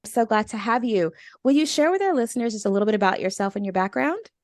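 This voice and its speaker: A woman's voice: a little high, lively intonation, quick pace, no room sound, clean studio sound. A woman hosting a podcast, speaking upbeat and enthusiastic as she introduces the show and asks questions. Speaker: podcast host. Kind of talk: scripted podcast intro and interview.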